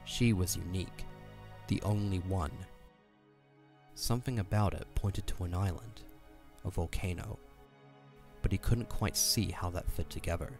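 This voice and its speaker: Deep-voiced male narrator over music. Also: unhurried, long pauses. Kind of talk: scripted dramatic story narration.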